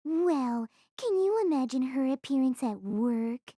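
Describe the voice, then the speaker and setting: A woman's voice: sits high, animated, unhurried, dark tone, no room sound, studio quality. A woman playing a cheeky, scheming teenage schoolgirl in an audio drama, breezy and teasing. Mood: cheerful. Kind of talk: anime-style audio drama acting.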